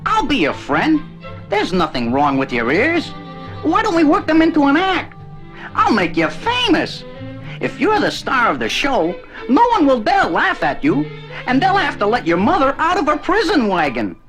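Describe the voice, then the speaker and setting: A man's voice: very high-pitched, wildly swooping pitch, moderate pace, over music, heavily acted. An actor playing a small, plucky, friendly male circus mouse in a children's read-along story, brash, eager and encouraging.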